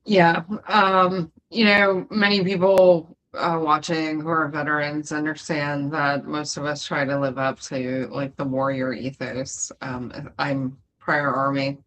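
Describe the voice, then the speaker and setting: A woman hosting a show and an Army veteran, asking halting questions with many ums and uhs. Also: low-pitched, little pitch movement, fairly bright tone, slight background noise, low-quality recording. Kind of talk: livestream interview questions.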